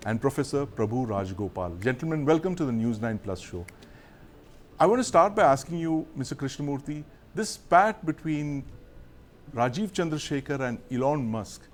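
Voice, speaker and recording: A man's voice: mid-range voice, a little mellow. A man anchoring a studio news show, asking formal questions.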